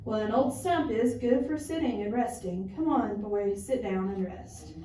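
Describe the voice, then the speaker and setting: A woman voicing a gentle, giving old female tree from a children's storybook, speaking tenderly and simply. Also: mid-range voice, a little slow, natural voice. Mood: sad, giving. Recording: roomy, noisy, distant classroom recording.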